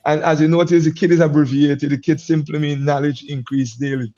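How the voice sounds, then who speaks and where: A man's voice: fairly high pitch, little pitch movement, fairly quick, dark tone, muffled recording, faint music behind. A middle-aged man with a Guyanese accent shaped by years in Antigua, a guest musician chatting in an easygoing way and laughing often. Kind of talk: casual interview chat.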